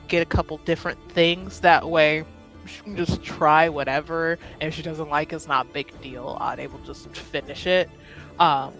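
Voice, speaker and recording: A woman chatting casually and informally as a player in a tabletop roleplaying game, with a giggly manner. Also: fairly low pitch. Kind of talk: casual game chat with friends.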